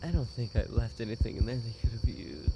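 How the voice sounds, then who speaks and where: Gravelly, obsessive yandere boyfriend, acted by a man. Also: mid-range voice, heavy background noise, natural voice. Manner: halting, pleading, murmured endearments, nervous chuckles. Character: obsessive secret yandere stalker boyfriend, tender turning desperate. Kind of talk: dramatic boyfriend roleplay ASMR audio.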